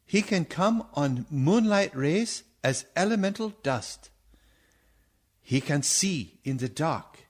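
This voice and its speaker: An actor playing a grave, commanding older professor and vampire hunter in a theatrical radio play, with solemn, oratorical delivery and stilted phrasing. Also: male voice, on the high side, animated, slow pace. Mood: grave, warning. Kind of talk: theatrical radio drama acting.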